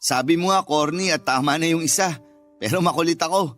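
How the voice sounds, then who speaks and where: Man playing selfless little brother, quite high-pitched male, earnest, loving, sentimental radio drama, faint music. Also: brisk, a bit bright, lightly acted. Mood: fond. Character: devoted, selfless younger brother, a gentle schoolteacher.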